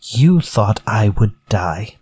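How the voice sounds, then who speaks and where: An actor playing a vengeful, menacing ex-convict murderer in a retro radio drama, theatrical, taunting and deliberate. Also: male voice, on the low side, expressive, a little mellow, breathy edge, exaggerated voice. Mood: gloating menace.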